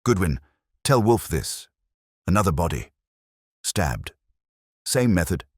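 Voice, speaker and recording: Man plays gruff male cop for a detective audiobook; growling, clipped, blunt, very low register, lively swings. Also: slow pace, no room sound, clean studio sound, exaggerated voice. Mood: grim, urgent. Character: gruff, blunt male police officer on phone.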